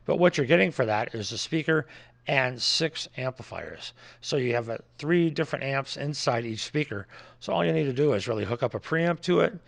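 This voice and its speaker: A man's voice: medium pitch, expressive, normal speed, gravelly. An American man giving an informal product presentation in a plain-spoken, explanatory way, as a distributor would.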